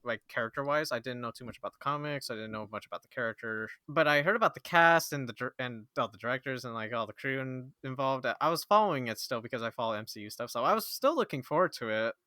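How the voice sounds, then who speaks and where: A man co-hosting a casual movie review podcast, talking eagerly and stumbling over his words when excited. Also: medium pitch, fast, hardly any pauses, no echo. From Filipino and Chinese heritage. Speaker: podcast co-host.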